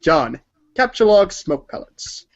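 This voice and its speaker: A man's voice: on the high side, very animated, slow, very noisy. A man reading scripted narration for a read-along story performance, in a dull recording.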